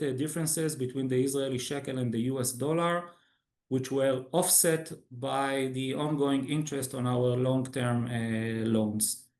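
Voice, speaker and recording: A man's voice: a little high, darkish tone. Echoey male executive, non-native English, presenting results. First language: not English. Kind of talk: scripted earnings call presentation.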